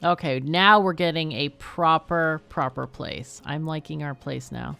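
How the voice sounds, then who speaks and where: A woman's voice: low voice, measured pace, gravelly, fairly bright tone, faint music behind. A woman hosting a game livestream, chatting casually and thinking aloud as she plays. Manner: thinks aloud while playing. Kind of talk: casual gameplay chat.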